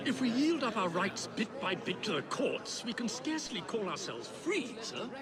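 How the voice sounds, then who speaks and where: Casting: a stern English parliamentarian in a game, declamatory, very high-pitched, animated. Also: male voice, moderate pace. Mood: grave. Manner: declamatory, outraged oratory. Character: stern, blustering male Victorian politician. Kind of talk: video game cutscene acting. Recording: loud crowd behind dialogue.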